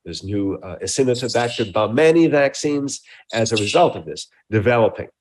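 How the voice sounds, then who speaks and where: A mature man with a mid-pitched voice speaks as a scientist being interviewed. He explains things deliberately and technically, choosing precise scientific terms. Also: animated, noisy background. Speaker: research scientist interviewed as a guest.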